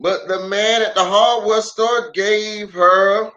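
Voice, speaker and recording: A man with a slightly high-set voice hosts a storytime for children. He speaks very slowly, stretching out words for emphasis. His delivery is animated and chatty, addressed straight to the viewers. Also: highs cut off. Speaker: pastor hosting a children's storytime. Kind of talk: livestream greeting and read-aloud storytelling.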